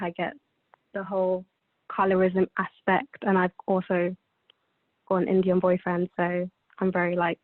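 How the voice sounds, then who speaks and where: A young woman chats freely and laughs easily. Her pitch stays fairly flat. The audio is tinny and dry, like a phone line. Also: no room sound. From London, United Kingdom. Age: 20s. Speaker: fashion design student.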